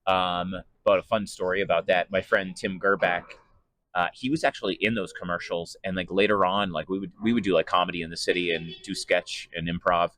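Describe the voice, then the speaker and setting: A man's voice: low-pitched, fairly even intonation, fairly quick, a bit bright, no room sound. A man giving casual interview answers in a hesitant, rambling, conversational way. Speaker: sports PA announcer and voice actor being interviewed.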